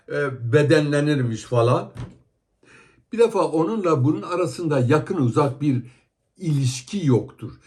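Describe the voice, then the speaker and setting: A man's voice: a little high, darkish tone. A man giving heated commentary that criticizes religious videos, scolding and asking rhetorical questions.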